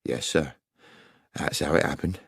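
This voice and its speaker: A man's voice: very deep voice, measured pace, creaky, breathy edge, no room sound, studio quality, voice pushed down, exaggerated voice. A man voicing an earnest, weary railway worker in an audiobook, deferential and defensive under questioning. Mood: wary, deferential.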